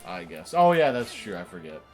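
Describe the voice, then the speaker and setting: A man's voice: low-pitched, very animated, a little fast, faint music behind. A man chatting casually through a video game, rambling and opinionated as he analyses it. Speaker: gaming show co-host. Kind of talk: casual let's play commentary chat.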